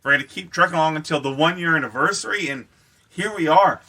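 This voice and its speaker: A man hosting a podcast in casual banter with friends, joking and swearing with excitable energy. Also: a little high, fast, slightly rough. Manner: jokey, profane, excitable. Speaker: podcast host.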